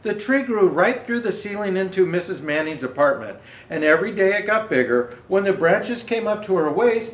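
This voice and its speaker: A man reading a children's storybook aloud as Santa, reading haltingly and pausing for asides to show the pictures. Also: high voice, roomy sound, rough recording. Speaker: storyteller presenting as Santa Claus.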